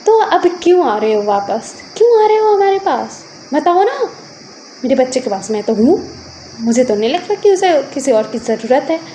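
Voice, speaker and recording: Stubborn, anguished father in a told story, acted by a woman; high, mellow-toned, accusing, insistent. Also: expressive, fairly quick, a little hiss or hum, lightly acted, rough recording. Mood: bitter, confrontational. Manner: accusing, repeats questions insistently. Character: anguished, stubborn, protective parent, a man, quick to anger.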